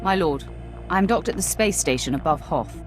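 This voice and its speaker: A woman voicing a commanding, dryly confident female Sith warrior in a video game, with a measured, cool delivery. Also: fairly low pitch, expressive, over music, natural voice. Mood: composed approval. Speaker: player character in a video game. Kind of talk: scripted video game dialogue acting.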